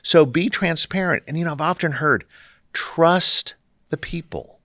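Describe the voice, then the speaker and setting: A man's voice: on the high side, measured pace, no room sound, clean studio sound. A middle-aged man from Northern California speaking as a ministry leader in a casual, earnest interview. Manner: earnest, animated storyteller.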